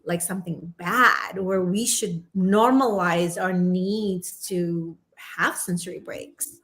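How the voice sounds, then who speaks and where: A woman in her forties from Indonesia, a podcast guest chatting casually and laughing often. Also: on the low side. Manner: animated, laughs often, quick asides. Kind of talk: casual interview chat.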